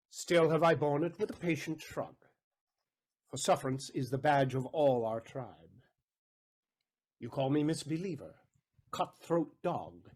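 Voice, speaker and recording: An actor playing an aggrieved, wily moneylender in a Shakespearean audio drama, deliberate, rhetorical and sardonic in manner. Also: male voice, a little high, darkish tone, husky. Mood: bitter, sardonic.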